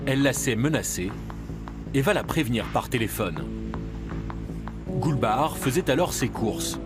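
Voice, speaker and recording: French male narrator, expressive delivery over music. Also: mid-range voice, measured pace. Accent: French from France. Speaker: documentary narrator. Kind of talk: scripted investigative voice-over narration. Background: background music.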